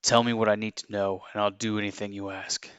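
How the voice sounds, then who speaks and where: A man's voice: fairly low pitch, little pitch movement, a little fast, a bit bright, clear, resonant tone, dry recording, lightly acted. A man playing an earnest, haunted former assassin confessing his story in an audio drama. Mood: earnest, intense.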